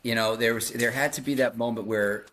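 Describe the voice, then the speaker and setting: A man's voice: medium pitch, steady tone, a little fast, a bit bright, a little hiss or hum. A man hosting a podcast, interviewing his guest in a conversational way. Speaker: podcast host.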